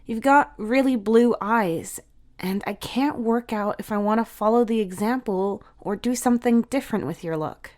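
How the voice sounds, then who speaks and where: A woman's voice: fairly high pitch, normal speed, a little mellow, voice pushed up, natural voice. A woman voicing a girlish, mischievous big sister in a storybook, teasing and encouraging with a casual manner. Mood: pondering.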